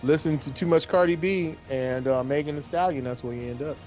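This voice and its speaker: A man with a somewhat high-pitched voice banters casually as a sports show co-host, with music behind him. He is playful and likes to repeat a phrase for emphasis. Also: brisk, a little mellow, poor audio.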